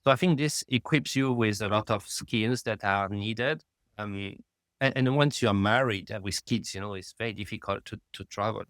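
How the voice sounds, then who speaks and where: A middle-aged man, speaking as a guest in a remote interview, halting and peppered with "you know.". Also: fairly low pitch, a bit bright, no room sound, clean studio sound. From France. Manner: halting, fills with "you know", rises in emphasis. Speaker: guest, lab founder.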